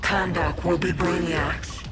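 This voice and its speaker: Slow, menacing villainess. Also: female voice, on the low side, steady tone. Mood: ominous. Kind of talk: dramatic video game acting. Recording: loud music over the voice.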